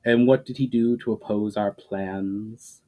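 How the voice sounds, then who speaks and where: A silky, disembodied villain, as a male storyteller plays him, speaks out of a magic portal in a fantasy audiobook. The voice is deep and unhurried, offering false reassurance while coldly weighing its plans. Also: steady tone, narrow, tinny audio, natural voice. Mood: coldly calculating.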